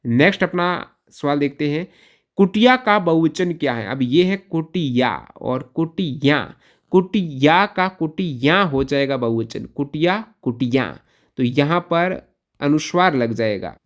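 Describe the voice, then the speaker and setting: A man's voice: a little high, expressive, measured pace. Male exam-prep teacher. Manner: instructional, repeats key answers and poses questions to himself. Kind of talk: question-by-question exam lesson.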